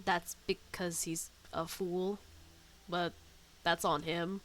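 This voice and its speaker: A woman's voice: fairly low pitch, level tone, slow pace, fairly bright tone, slight background noise. A woman streamer giving casual, colloquial reaction commentary on a video game in short interjections. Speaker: gaming streamer.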